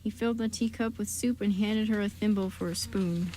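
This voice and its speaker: A woman's voice: medium pitch, steady tone, a little fast, mellow, slight background noise, rough recording. A woman reading scripted story narration as the narrator of a radio play.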